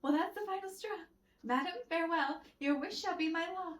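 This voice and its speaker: A woman's voice: extremely high pitch, fairly quick, a bit bright, far from the mic, a little hiss or hum, voice pushed up. Woman acting out a huffy young male lover, echoey. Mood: huffy, indignant. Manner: theatrical, formal rhyming verse. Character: proud young man, a wounded, huffy lover. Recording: roomy echo with music behind.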